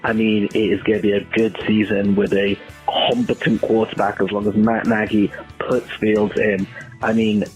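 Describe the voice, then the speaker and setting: A man's voice: on the low side, little pitch movement, normal speed, a little mellow, low-quality recording. A man leaving a casual sports opinion as a fan's voicemail. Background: music.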